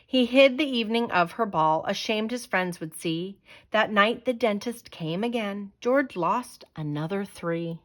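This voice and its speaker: Female storyteller, unhurried, dramatic children's read-aloud, mid-range voice. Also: mid-range voice, animated, a bit bright. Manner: dramatic, deliberate storytelling delivery. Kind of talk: expressive children's picture book read-aloud.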